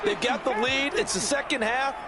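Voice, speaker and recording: High-voiced folksy football analyst man. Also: normal speed, heavy background noise. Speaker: color commentator. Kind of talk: video game sports analysis. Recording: game audio over loud music.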